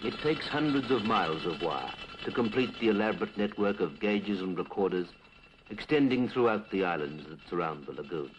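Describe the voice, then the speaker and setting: Low-voiced British man narrating a 1950s documentary. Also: expressive, a little fast, noisy background. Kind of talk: 1950s British public information film narration, scripted. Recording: 1950s film soundtrack with music.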